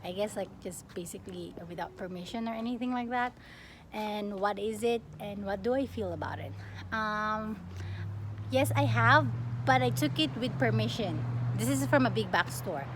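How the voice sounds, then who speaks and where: A young woman from the Philippines chatting casually as a plant vlogger, expressive and often breaking off mid-sentence. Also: medium pitch, moderate pace. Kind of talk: casual get-to-know-me question-and-answer chat. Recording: clearly noisy home recording.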